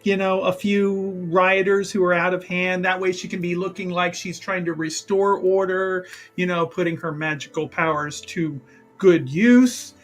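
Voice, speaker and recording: A man with a high, bright voice improvises as a player in a tabletop roleplaying game. He talks haltingly and doubles back on his words, with faint music behind him. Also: steady tone. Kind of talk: improvised in-character game chat.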